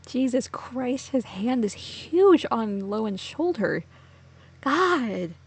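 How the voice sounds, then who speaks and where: A woman's voice: on the high side, sing-song, slow, husky. A woman giving casual let's play reaction commentary, blunt, profane and sardonic.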